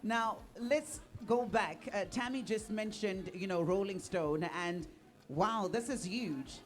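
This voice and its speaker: A woman's voice: mid-range voice, slow pace. Gushing woman radio host. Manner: gushing, warm reactions, filler-heavy. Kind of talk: live radio hosting. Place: radio studio.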